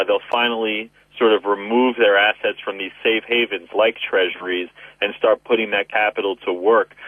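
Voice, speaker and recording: A man's voice: fairly low pitch, dry recording. A man giving market commentary as a guest analyst over the telephone. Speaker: wealth manager, guest analyst. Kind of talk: market commentary in a phone interview. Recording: narrow tinny phone line.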